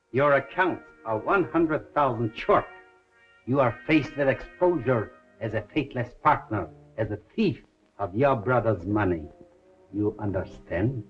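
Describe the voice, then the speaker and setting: An actor playing a cold, calculating male villain in a 1930s crime film, speaking formally and in a measured, menacing way. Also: mid-range voice, expressive. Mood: cold menace. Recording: muffled 1930s film soundtrack, faint music.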